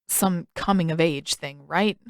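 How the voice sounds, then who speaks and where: A woman voicing an awkward, earnest teenage boy in an audiobook, talking in a casual, halting, blunt way. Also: fairly low pitch, dry recording, clean studio sound. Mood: disbelieving. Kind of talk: audiobook character dialogue.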